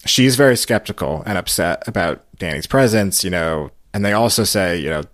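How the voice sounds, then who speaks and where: A male podcast host chats through a recap in a deep voice. His delivery comes in short, halting pieces, recorded very dry. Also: animated, a bit bright, no room sound. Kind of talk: casual recap commentary.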